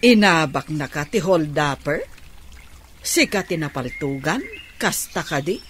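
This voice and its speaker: Lively, nosy older auntie. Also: female voice, low-pitched, a little slow, a little hiss or hum. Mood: alarmed, curious. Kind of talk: radio drama acting in Ilocano.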